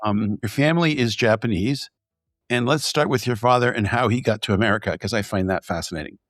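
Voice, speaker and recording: A man from Hungary hosting a podcast interview, with an ordinary middle pitch. He talks at a brisk clip and asks curious, friendly questions. The sound is dry and close to the mic. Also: fairly bright tone, no room sound. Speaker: podcast host. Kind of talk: conversational interview.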